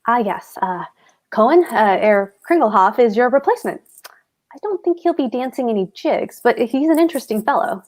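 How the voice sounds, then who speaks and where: Blustering, self-important comic husband played by a woman, higher voice with animated swings, emphatic delivery. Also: normal speed. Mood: pompous, pleased. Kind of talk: live cold table read of a stage comedy.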